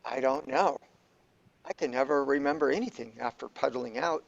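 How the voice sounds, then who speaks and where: A man's voice: mid-range voice, normal speed, gravelly, no echo. A man playing a laid-back, philosophical character who explains things matter-of-factly in an amateur audio drama. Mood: unfazed. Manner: casual, philosophical, unfazed. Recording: dull lo-fi dry recording.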